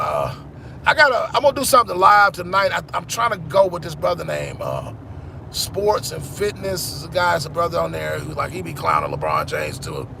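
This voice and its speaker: Gravelly, rambling man in his forties. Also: fairly high pitch, noisy background. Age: 40s. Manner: rambling, repeats "man", restarts often. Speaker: sports channel host. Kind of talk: casual live-stream chat with viewers.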